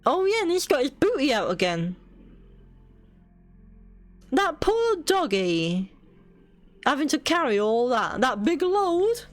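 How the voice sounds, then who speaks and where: A British woman with a fairly high voice reads a children's bedtime story at an unhurried pace. She is a streamer with a cheeky, playful way of commenting as she goes. Soft music plays behind her. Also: sing-song. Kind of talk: bedtime story reading with casual asides.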